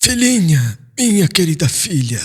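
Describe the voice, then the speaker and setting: A man's voice: fairly high pitch, very animated, measured pace, breathy edge, voice pushed up, heavily acted. A man playing an old, humiliated Hebrew father in an audiobook, speaking with anguish and affection. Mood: tender, shaken.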